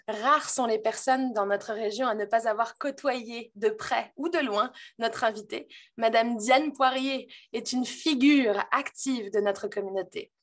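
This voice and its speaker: A woman's voice: fairly high pitch, unhurried, hardly any pauses. A woman hosting a virtual interview, reading a prepared introduction with many hesitations. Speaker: interview host.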